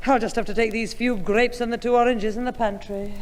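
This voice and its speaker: A woman's voice: on the high side, steady tone, brisk, lightly acted. A woman playing an exasperated, scolding mother in a 1960s radio comedy. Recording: old radio, reverberant, studio audience.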